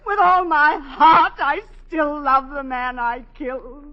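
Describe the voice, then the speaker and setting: A woman's voice: high voice, fairly even intonation, takes it slowly, lo-fi sound. A woman playing a refined, guilt-ridden wife who has killed her lover, in intense 1940s radio drama style. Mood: pleading, despairing. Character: tormented unfaithful wife confessing murder, refined and guilt-ridden. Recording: band-limited 1940s radio recording with music.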